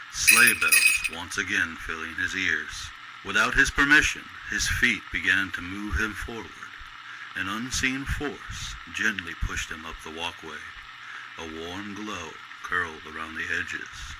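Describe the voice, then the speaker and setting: Deep-voiced male storyteller over noisy ambience. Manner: measured, literary storytelling delivery. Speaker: narrator. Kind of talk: scripted storybook narration in golden-age radio drama style.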